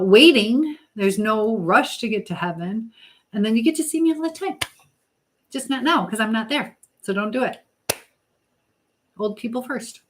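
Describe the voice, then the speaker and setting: A woman's voice: medium pitch, a bit bright. A middle-aged woman hosting a casual live chat, talking directly to viewers and giving motherly advice. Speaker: livestream host.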